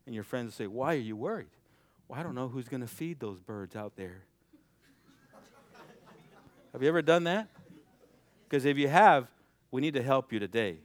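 A young adult man preaching a sermon, swinging from loud, shouted exhortation to quiet, intimate passages. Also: medium pitch, animated, moderate pace, pauses often.

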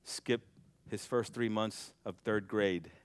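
A young adult man preaching a sermon, swinging from loud, shouted exhortation to quiet, intimate passages. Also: lowish voice, steady tone, slow pace, clear, resonant tone, no room sound.

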